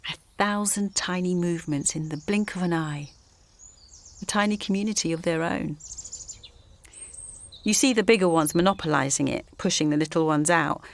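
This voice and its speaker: A woman's voice: fairly low pitch, lightly acted. An actress playing a wistful, reflective woman from small-town Essex, delivering a first-person audio drama monologue.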